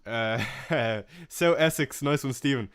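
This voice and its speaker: A young man with a Waterford Irish accent, hosting a casual fantasy football livestream, chatting with viewers and answering comments with plenty of fillers and soft laughs. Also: medium pitch, slow pace, smooth. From Ireland. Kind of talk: casual live-stream chat reading comments.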